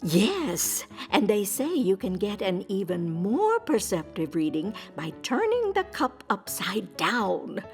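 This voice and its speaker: A woman's voice: medium pitch, big pitch swings, slow, hardly any pauses, soft background music. A woman playing a prim, earnest ghost newspaper reporter, polite, wordy and a little hesitant, in an audio drama. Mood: earnest explaining. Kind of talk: audio drama character acting.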